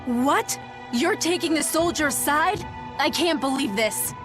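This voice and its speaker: A woman's voice: high-pitched, lively intonation, slow. An actress playing a proud, disillusioned noblewoman in an English video game. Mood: indignant, troubled. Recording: background music under the voice.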